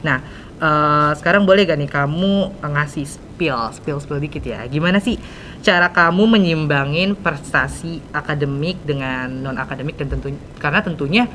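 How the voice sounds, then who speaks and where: A woman's voice: normal speed, fairly bright tone. A teenage young woman with a Jakarta Indonesian accent, hosting a casual podcast chat in informal youth slang. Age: teens. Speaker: podcast host. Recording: rumbly background noise.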